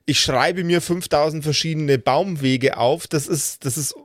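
A man's voice: on the high side, unhurried, no room sound, studio quality. A man, a game master explaining things casually and colloquially on a podcast. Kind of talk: casual reflective explanation among friends.

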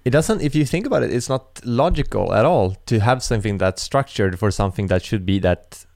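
A man's voice: on the low side, expressive, a little fast, vocal fry, a little mellow, no echo. Male interviewer, inquisitive and casual, dry close recording. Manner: curious, probing questions with casual fillers.

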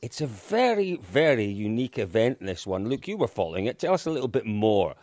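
A man's voice: on the low side, big pitch swings, darkish tone, dry recording. A middle-aged Scottish man from Dundee with a Dundee accent, hosting a casual rally podcast and steering the chat. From Scotland. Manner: chatty, leads the conversation, quick to agree. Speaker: podcast host.

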